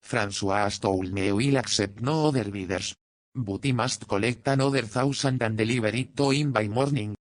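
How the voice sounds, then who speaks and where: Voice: mid-range voice, moderate pace, no room sound, voice pushed down, close to an everyday voice. A narrator voicing a wealthy, boisterous Spanish colonial nobleman, effusive and self-satisfied, in an audiobook. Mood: eager, boastful.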